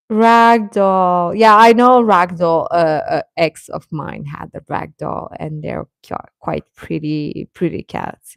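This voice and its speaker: A woman hosting a casual livestream chat, answering viewers informally and haltingly, often repeating short phrases. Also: fairly low pitch, creaky, clean tone, no echo. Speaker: livestream host chatting with viewers.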